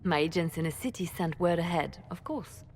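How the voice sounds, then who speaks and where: A woman playing a calm, shrewd spymaster in a video game, speaking with composed deliberation. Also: lowish voice, a little fast, big-room sound, slight background noise, lightly acted. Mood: composed, informative.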